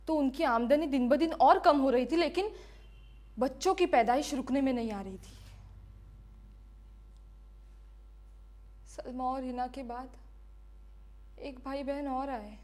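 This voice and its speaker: A woman playing a defiant, bitter condemned prisoner giving her last testimony in a deliberate, impassioned dramatic monologue. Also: high voice, a little mellow, close to an everyday voice. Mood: pained, resolute. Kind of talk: dramatic film-style monologue.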